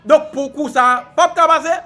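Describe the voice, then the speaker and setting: A man's voice: very high voice, moderate pace, pushed and bright. A man with a Haitian accent speaking emphatically as an employee in a news report. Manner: emphatic, rousing speech.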